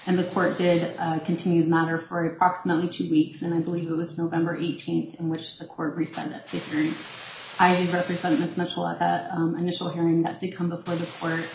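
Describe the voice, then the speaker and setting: A nervous woman attorney with a very soft, shaky voice, arguing carefully before a court at the podium microphone and pausing on many ums. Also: fairly low pitch, vocal fry, a little hiss or hum. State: nervous, voice shaking. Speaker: appellee's attorney. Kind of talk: appellate oral argument. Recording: roomy courtroom echo.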